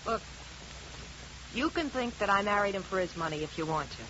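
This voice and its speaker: An American woman playing a brusque, deadpan, tough young wife in a 1950s radio detective drama. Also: medium pitch, fairly quick, lightly acted. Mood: weary defensive. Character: blunt, world-weary, slovenly young wife, tough dame. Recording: noisy, muffled old radio recording.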